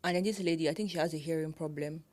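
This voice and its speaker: A young woman with a Nigerian accent, co-hosting a podcast in casual, animated chat and laughing often. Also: low voice, rapid-fire. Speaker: podcast co-host.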